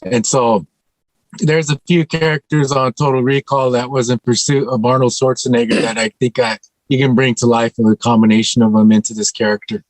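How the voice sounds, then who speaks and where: A man's voice: medium pitch, fairly even intonation, a little fast, no echo, poor audio. A man chatting casually as an actor on a video call panel, conversational and repeating phrases for emphasis. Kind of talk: casual chat.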